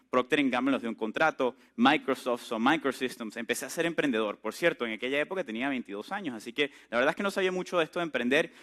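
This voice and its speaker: A man. His voice sits somewhat high, with a bright, forceful edge. He tells his story in a relaxed, chatty way and throws questions out to the room. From Venezuela. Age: 30s. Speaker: entrepreneur speaker. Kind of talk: conference talk to a live audience.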